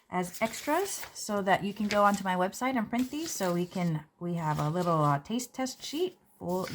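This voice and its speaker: A woman, a children's book author, reading her picture book aloud and walking through its pages in a gentle, explanatory way. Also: medium pitch, slight background noise. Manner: gentle, explanatory, with light fillers. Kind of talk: read-aloud and book walkthrough. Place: indoors at a table.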